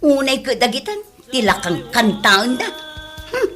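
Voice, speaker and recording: Grumpy nagging mom, acted by a woman: high, very animated, exasperated, comedy drama with soft music behind. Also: moderate pace. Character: grumpy, complaining mother irritated by noisy neighbours. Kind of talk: Ilocano comedy drama acting.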